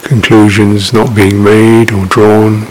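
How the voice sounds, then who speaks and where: A man guiding a meditation in an unscripted, halting, reflective way, leaving long pauses between phrases. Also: on the low side, low-quality recording. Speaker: meditation teacher. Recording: steady background hiss.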